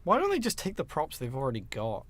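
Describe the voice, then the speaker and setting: A man with a notably high voice co-hosts a film podcast. He chats casually and bluntly, throwing out opinions and the odd swear word. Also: wildly swooping pitch, quick pace, a crowd in the background. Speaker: podcast co-host.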